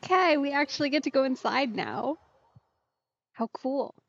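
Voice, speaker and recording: A woman's voice: high voice, animated, normal speed, creaky, no room sound. A woman giving casual live commentary as she plays a game, close on the mic with slightly muffled sound. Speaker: game streamer. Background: soft game music.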